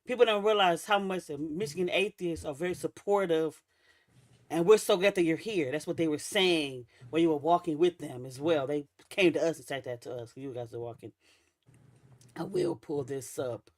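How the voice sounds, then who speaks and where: A woman hosting a casual livestream. She is chatty, laughs easily and drops in quick asides while she talks. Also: deep voice. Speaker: livestream host.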